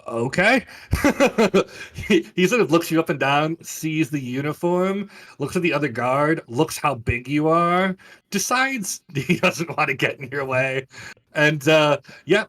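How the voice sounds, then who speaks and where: A man's voice: a little high, fairly bright tone. A man narrating a tabletop fantasy game as game master, describing scenes for his players.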